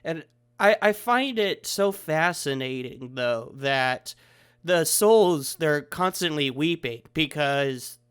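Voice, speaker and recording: A man's voice: fairly high pitch, lively intonation, slow, a bit bright, smooth. A man hosting a casual podcast chat over the internet. He sounds congested from a head cold and talks eagerly, often trailing off mid-thought. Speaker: podcast host. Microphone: remote recording over the internet.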